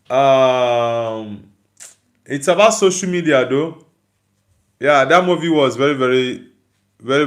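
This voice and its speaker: A man with a Nigerian accent speaks in a slightly high-pitched voice. He hosts a football livestream in a casual, conversational style, reading out comments and answering them. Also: fairly bright tone.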